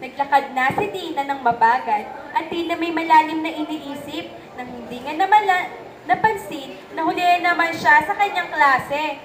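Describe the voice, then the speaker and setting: A woman's voice: sits high, moderate pace, a bit bright. A young woman with a Filipino accent reading scripted narration for a story. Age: young adult. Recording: echoey room, faint background noise.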